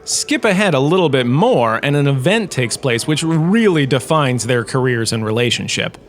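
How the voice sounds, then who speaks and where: A man acts as a male galactic professor in a comic lecture, pleased with himself and fond of a dramatic pause. His pitch sits in the middle of the male range. There is noticeable ambient noise behind the voice. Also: lively intonation, lightly acted. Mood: storytelling. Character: self-regarding, jokey male galactic professor lecturing in cantina. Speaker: lecturer and storyteller. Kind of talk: scripted comedic lecture narration. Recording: clearly noisy background ambience.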